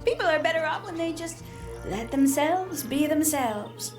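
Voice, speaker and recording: A woman's voice: sits high, expressive, measured pace. A prim, chatty eccentric lady. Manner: prim, chatty, a little scatterbrained. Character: prim eccentric woman, gossipy bird lover. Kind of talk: video game voice acting. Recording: game audio with music underneath.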